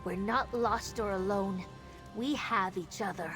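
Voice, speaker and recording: A woman's voice: mid-range voice, unhurried, natural voice. Earnest lizard-folk woman over game music, acted. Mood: hopeful, proud. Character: earnest female Argonian tribeswoman, freed from slavery. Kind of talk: video game character dialogue.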